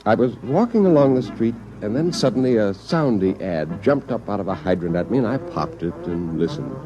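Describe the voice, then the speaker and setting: A man's voice: lowish voice, expressive, normal speed, highs cut off, a crowd in the background, lightly acted. An actor playing an earnest, agitated and hapless unemployed man who tells his own story in 1950s radio drama style. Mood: rueful narration. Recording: old radio recording, music behind.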